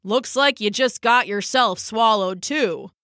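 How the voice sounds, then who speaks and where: A woman's voice: on the high side, unhurried, pushed and bright, dry recording, clean studio sound. A woman doing a sulky grumbling ox. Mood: scornful. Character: grumpy weary ox, male, big and slow.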